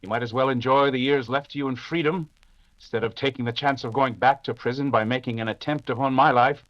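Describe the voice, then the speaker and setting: An actor playing an unflappable English detective, measured and dryly dismissive, in a 1940s radio drama style. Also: male voice, medium pitch, fairly even intonation, a bit bright, no room sound, dull audio, lightly acted. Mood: dry dismissal.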